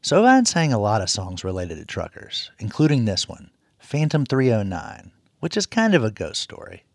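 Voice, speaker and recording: A man's voice: lowish voice, wildly swooping pitch, normal speed, creaky, darkish tone, no echo, clean studio sound. A man hosting a radio show, reading scripted introductions and credits.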